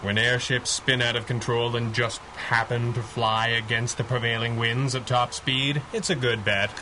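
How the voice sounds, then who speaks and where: An actor playing a confident 1930s masked superhero, brisk, deductive and dryly witty, in radio adventure style. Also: male voice, mid-range voice, little pitch movement, noisy background, natural voice. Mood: urgent, reasoning. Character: confident masked male superhero, 1930s pulp mystery man.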